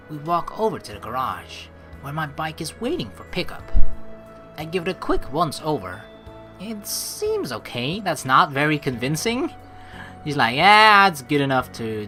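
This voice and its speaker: Bright, animated young male let's player. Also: on the high side. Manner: expressive, informal, playful asides. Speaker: let's play host. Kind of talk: game playthrough, reading narration aloud with casual commentary. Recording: voice over game music.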